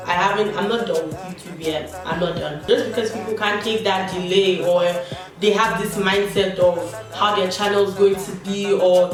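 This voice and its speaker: A woman's voice: medium pitch, steady tone, normal speed. A young woman chatting candidly while doing her makeup, with slangy asides. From Nigeria. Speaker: beauty vlogger. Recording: echoey room, distant mic, background music. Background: background music.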